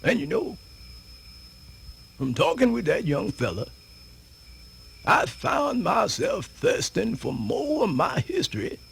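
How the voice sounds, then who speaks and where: A man playing a folksy, rambling old storyteller with a Southern US accent and a husky, creaky voice in a radio theatre monologue. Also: high voice, fairly bright tone, slight background noise, full character voice.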